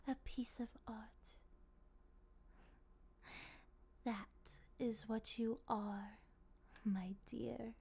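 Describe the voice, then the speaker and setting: Woman acting a slow, breathy, possessive vampire lady. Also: a little high, dark tone, exaggerated voice. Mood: hushed, seductive. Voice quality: breathy, often dropping to a whisper. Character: possessive, courteous, hungry female vampire, soft dominant. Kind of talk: intimate ASMR roleplay acting.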